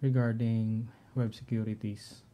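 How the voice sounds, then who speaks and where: A middle-aged man with a Filipino accent, talking through his home network setup in a halting way, often clearing his throat. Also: on the low side, little pitch movement, dark tone. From Philippines. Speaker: tech vlogger. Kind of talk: casual screen-share walkthrough.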